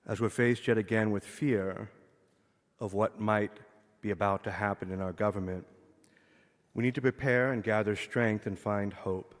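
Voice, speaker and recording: Man, worship associate, low voice, earnest church address, long echoing reverb. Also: fairly even intonation. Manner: earnest, measured address to a congregation. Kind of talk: church welcome and opening reading. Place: church sanctuary.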